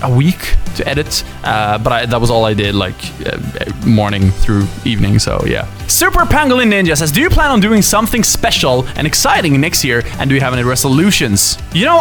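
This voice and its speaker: A man answering viewer questions in a casual chat, lively and often laughing, with many fillers. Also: a little high. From Sweden. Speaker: YouTuber and musician.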